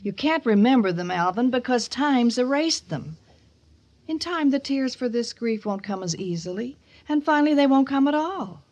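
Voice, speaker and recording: A woman's voice: high-pitched, expressive, moderate pace, darkish tone, highs cut off. Pleading, sorrowful mother. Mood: earnest pleading. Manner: earnest, imploring. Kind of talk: old-time radio drama acting.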